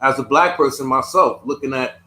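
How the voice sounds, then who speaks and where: A man who was born in the United States, talking in a casual discussion, halting and often repeating his words. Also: medium pitch, bright, forceful tone, slight background noise. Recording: echoey room.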